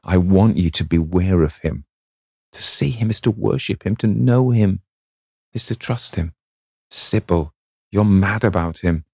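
A man's voice: low-pitched, measured pace, creaky, a little mellow, slightly rough, dry recording, clean studio sound, exaggerated voice. A man playing a sullen, protective sixteen-year-old boy who speaks bluntly and broodingly. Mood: warning.

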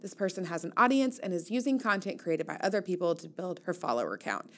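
A woman's voice: lowish voice, animated, fast, a bit bright. A woman hosting an audio newsletter, reading her casual commentary aloud in a wry, playful, conversational way.

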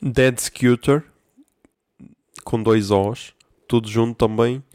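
A man's voice: mid-range voice, fairly even intonation, slow pace, darkish tone, dry recording, studio quality. A young man with a European Portuguese accent hosting a casual solo podcast, ranting in a profane, slangy way. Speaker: podcast host.

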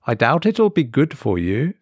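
A man's voice: mid-range voice, huge pitch swings, a little slow, breathy edge, dry recording, studio quality, pitched above the performer's own voice, heavily acted. A man voicing a curt, unwelcoming middle-aged small-town waitress in an audio horror story, terse and ominous. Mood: cold, probing.

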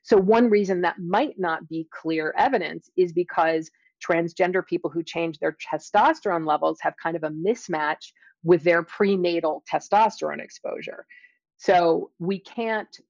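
A woman's voice: fairly low pitch, unhurried, vocal fry, a little mellow, dry recording. A woman speaking as an academic guest in an interview, explaining carefully with qualifications, on slightly dull, lo-fi audio. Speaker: academic guest, science author.

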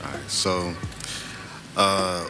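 Male guest from Florida, very low voice, plainspoken self-assured pitchman, clear music underneath. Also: very slow. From Florida, United States. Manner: confident, boastful, plainspoken. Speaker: guest, sea moss vendor. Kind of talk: casual sales pitch and chat. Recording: music playing behind the voice.

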